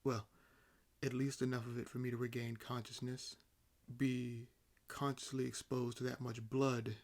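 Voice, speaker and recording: A man voicing an ancient vampire in an audio roleplay, nonchalant and amused, speaking with wry condescension and theatrical pauses. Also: medium pitch, level tone, natural voice. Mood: wry, resigned. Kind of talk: roleplay audio acting, addressing the listener.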